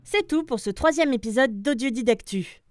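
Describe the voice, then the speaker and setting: A woman's voice: a little high, expressive, moderate pace, darkish tone, no echo, studio quality. A woman reading scripted podcast news as presenter, addressing listeners like an announcer. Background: light music.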